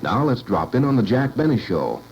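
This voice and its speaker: A man's voice: medium pitch, sing-song, moderate pace, darkish tone, muffled recording, a little hiss or hum. A man reading scripted narration in the style of a vintage radio documentary, on an old archive recording.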